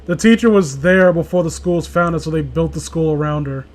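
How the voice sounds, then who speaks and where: A man's voice: a little high, brisk, a little hiss or hum. A man reading a story aloud casually with a friend, joking, swearing and breaking off for sarcastic asides. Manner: sarcastic, profane, interrupts own reading with asides.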